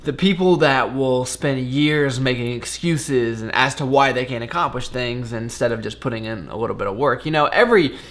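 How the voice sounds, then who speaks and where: A man's voice: medium pitch, normal speed, few pauses, a bit bright. A young man talking casually to his vlog audience, upbeat and smiley, rambling with frequent restarts as he gives his opinions. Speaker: fitness and cooking vlogger.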